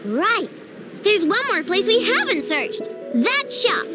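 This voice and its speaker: A feisty young girl inventor from an anime-style game, talks bluntly and casually. The childlike voice is extremely high and bounces all over, with music behind. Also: big pitch swings, slow pace, exaggerated voice. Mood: hopeful, candid. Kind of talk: video game character dialogue.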